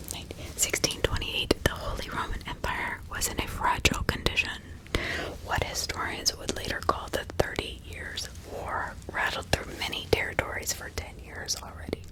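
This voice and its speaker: A woman speaking in a breathy, hushed whisper as an ASMR host, reading and role-playing in an informal, conversational way. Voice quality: breathy unvoiced whisper with some crackle.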